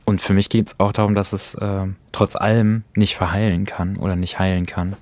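A man hosting a cultural podcast, speaking earnestly in careful, measured and reflective phrases. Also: low-pitched, little pitch movement, darkish tone, dry recording. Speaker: podcast host introducing radio plays.

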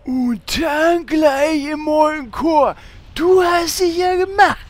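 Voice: high voice, takes it slowly. A performer playing Horst's part, a naive, easygoing warrior hero with a hesitant, exclaiming delivery in a German fantasy parody audio drama. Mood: delighted.

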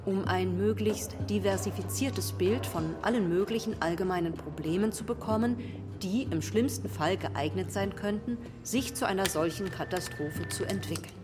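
Actress as formal professor in reverberant hall. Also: female voice, medium pitch, moderate pace, over music, natural voice. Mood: formal, grave. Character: formal female professor briefing government leaders. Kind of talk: formal presentation in radio drama. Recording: long reverb of a large hall.

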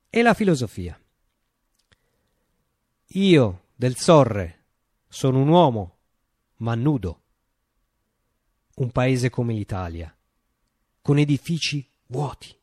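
Theatrical, sweepingly expressive male revolutionary. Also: medium pitch, no echo, clean studio sound. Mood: declamatory, earnest. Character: visionary revolutionary man recounting a utopian upheaval. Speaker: radio theatre actor performing a monologue. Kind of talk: theatrical monologue, radio drama storytelling.

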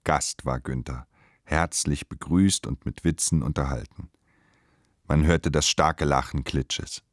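A man narrates a literary radio play in a measured, solemn and deliberate manner. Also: a bass voice, no room sound, studio quality.

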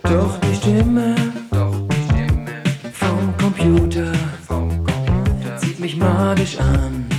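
A woman's voice: lowish voice, sing-song, slow pace, pauses often. A woman performing a pop song in short, repeated, half-spoken slogans. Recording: echoey song track, loud music.

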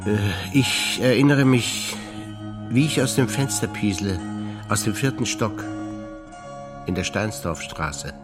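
A male stage actor reciting personal memories in a measured theatre performance, over music. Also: fairly low pitch. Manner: measured, alternating lines of remembrance.

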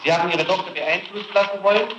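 A man with a slightly high voice talks fast as he levels accusations in a formal interrogation. He is insistent. The old tape is roomy and noisy. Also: fairly even intonation. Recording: muffled, echoey, noisy archival interrogation tape.

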